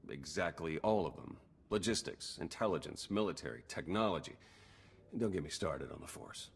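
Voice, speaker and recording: An actor playing a wry, weary intelligence operative in a video game, with a casual, dry, understated delivery. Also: male voice, low voice, expressive, normal speed, gravelly, a little hiss or hum. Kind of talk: scripted game character dialogue.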